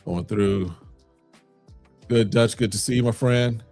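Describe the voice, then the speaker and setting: A man with a fairly low voice hosts a relaxed livestream, greeting viewers and answering their questions off the cuff. His talk is loose and full of fillers and restarts. Faint music plays quietly underneath. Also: slow pace, gravelly. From Chicago, South Side. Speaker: fragrance livestream host. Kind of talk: casual livestream chat reading comments.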